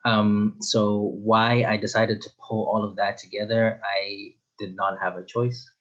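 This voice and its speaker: A man's voice: on the low side, level tone, a little slow, a bit bright, highs cut off. A young man from Zimbabwe, a guest author, answering interview questions thoughtfully with frequent ums and uhs. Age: young adult.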